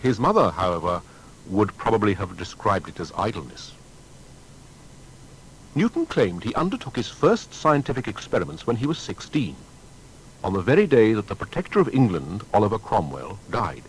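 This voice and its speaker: A man narrating a scripted biography in a measured, formal and dramatic way. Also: big pitch swings, low-quality recording. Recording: faint background rumble and noise.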